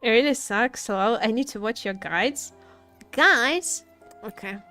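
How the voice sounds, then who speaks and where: A woman livestreamer chatting casually with viewers about games, halting and jokey, often repeating herself. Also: fairly high pitch, bright, forceful tone. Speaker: livestreamer, gaming content creator. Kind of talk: casual live gaming commentary, chatting with viewers. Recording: faint music behind the voice. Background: faint music.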